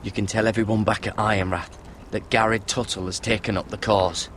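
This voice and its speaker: A man's voice: on the low side, level tone, brisk, fairly bright tone, slight background noise, close to an everyday voice. An actor playing an earnest, dutiful young squire turned watchman in a fantasy game, with a questioning manner. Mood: resolute. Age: young adult. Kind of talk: dramatic fantasy game acting.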